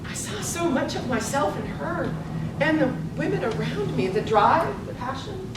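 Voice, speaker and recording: A woman playing an earnest, determined young newspaper reporter of the suffrage era in a staged reading, speaking deliberately and emphatically. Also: lively intonation. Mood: reflective, inspired. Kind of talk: live staged play reading. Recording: echoey hall from far off, noisy rumble.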